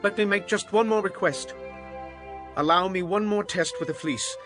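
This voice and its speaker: A man's voice: high voice, normal speed, fairly bright tone, soft background music. A man playing a humble, doubting Israelite farmer, earnest and pleading, in a dramatized audio Bible.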